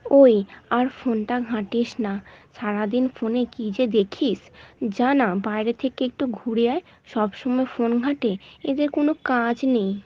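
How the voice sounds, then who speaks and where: A woman's voice: fairly high pitch, a little slow, highs cut off. A woman voicing a nagging, scolding mother in a cartoon, complaining at her son. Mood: scolding, annoyed. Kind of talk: cartoon voice acting.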